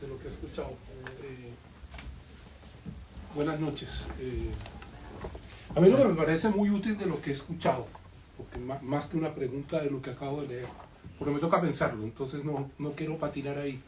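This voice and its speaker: A man's voice: fairly high pitch, dark tone. A man from the audience making an emphatic, storytelling comment, full of rhetorical questions, at a bookshop event. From Colombia. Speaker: audience member. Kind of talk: spontaneous public comment. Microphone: distant room mic. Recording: echoey room, voice far from mic, faint noise.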